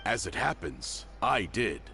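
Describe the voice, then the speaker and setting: Helpful game townsman, lowish voice, animated pitch, plain-spoken, faint background noise. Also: measured pace, creaky, exaggerated voice. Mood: matter-of-fact. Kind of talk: video game voice acting.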